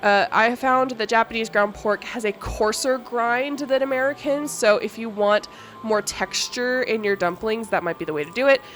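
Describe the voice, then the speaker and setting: A woman's voice: a little high, moderate pace, soft background music. A woman hosting a cooking video in a casual, chatty way. She sounds congested from allergies. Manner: chatty, relaxed, talks while cooking. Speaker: cooking channel host. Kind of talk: casual cooking vlog narration.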